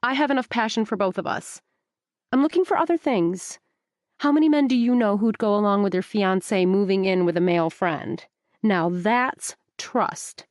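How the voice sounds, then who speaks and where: A woman reading the part of an earnest young woman who stubbornly defends her choices, her voice lifted a little higher. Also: moderate pace, a little mellow, no room sound, clean studio sound, lightly acted. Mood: defensive.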